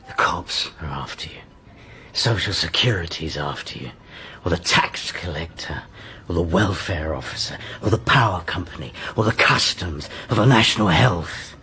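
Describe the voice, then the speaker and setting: A man playing a surly, embittered former sea captain in a radio drama, ranting gruffly and belligerently. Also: deep voice, expressive, normal speed, pushed and bright, a little hiss or hum, heavily acted. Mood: contemptuous, ranting. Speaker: actor in a radio crime drama.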